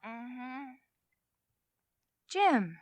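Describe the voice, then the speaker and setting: An actress playing a sweet, concerned young wife in 1940s radio drama style. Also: female voice, fairly high pitch, sing-song, drawn-out words, no echo, exaggerated voice. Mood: drowsy, contented.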